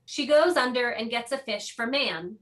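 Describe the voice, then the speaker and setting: A woman's voice: fairly high pitch, lively intonation, normal speed, a bit bright. A woman giving scripted narration, reading out the stage directions of a play.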